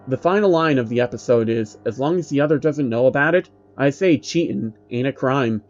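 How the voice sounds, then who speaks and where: A man narrating a scripted horror story in a flat, measured reading voice. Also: mid-range voice, highs cut off. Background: faint uneasy piano music.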